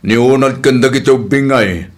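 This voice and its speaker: A man's voice: mid-range voice, measured pace, lightly acted. A man with an Ilocano accent playing a teasing, gossipy married uncle in a radio comedy drama.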